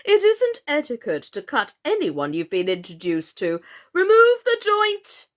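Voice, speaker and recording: A domineering storybook queen, all clipped orders and royal impatience, acted out by a woman. Her voice leaps from high, loud demands to low, grave mutters, never settling. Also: wildly swooping pitch, a little slow, full character voice. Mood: commanding. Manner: barking sharp commands.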